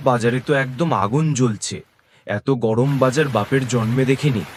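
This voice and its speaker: A man's voice: medium pitch, normal speed, natural voice. Weary, grumbling village husband, acted by a man. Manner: grumbling, complaining. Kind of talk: animated cartoon story acting. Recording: steady rain noise behind voice.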